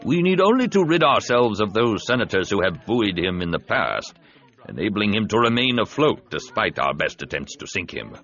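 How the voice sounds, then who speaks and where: A man voicing a big, scheming alien senator in an audiobook, with measured, persuasive delivery. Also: fairly low pitch, sing-song, a bit bright, muffled recording. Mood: soothing. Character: scheming, soothing, heavyset male alien senator and host.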